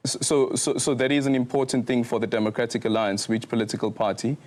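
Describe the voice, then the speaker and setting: A man's voice: mid-range voice, level tone, fast talker, darkish tone. A man interviewing a guest on radio, asking his questions in a hesitant way with many restarts.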